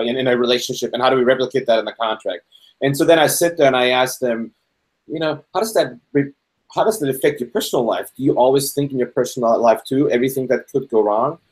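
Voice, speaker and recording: A man's voice: mid-range voice, low-quality recording. Austrian-born male host, middle-aged, curious and personal questions, video call sound. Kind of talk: casual live video interview. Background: fire engine passing.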